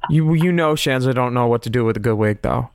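A man's voice: medium pitch, fast talker, smooth. A man hosting a casual recap podcast, campy and snarky, often laughing at his own jokes. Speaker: podcast host.

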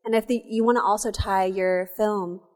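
A woman's voice: medium pitch, fairly even intonation, normal speed, long reverb tail. A woman hosting a podcast, reading sponsor notes and a guest bio, then interviewing in an earnest conversational way in a cafe. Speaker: podcast host. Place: cafe, live recording.

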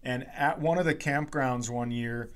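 A man's voice: medium pitch, unhurried, fairly bright tone. A man hosting a comedy podcast, telling stories casually in a wry, profane way. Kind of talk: casual comic storytelling.

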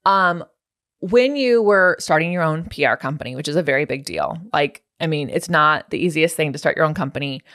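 A woman's voice: low-pitched, fairly quick, fairly bright tone, no room sound, clean studio sound. A woman hosting a podcast, asking questions casually and affirming her guest, often laughing. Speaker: podcast host.

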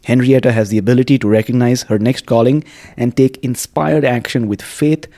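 A man with a relaxed, mellow voice at a middle pitch hosts a podcast interview. He speaks effusively and likes to check in with a "right?" as he goes. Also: fairly quick. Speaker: podcast host. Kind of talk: podcast interview with scripted introduction and casual chat. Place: quiet indoor room at a wellness space.

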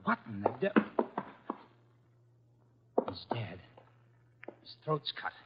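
An actor playing a brisk, tough, wisecracking detective's assistant in a 1950s radio drama, with a punchy, wry, colloquial delivery. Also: male voice, on the low side, sing-song, vocal fry, noisy background, exaggerated voice. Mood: urgent, firm. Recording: dull 1950s radio recording with music.

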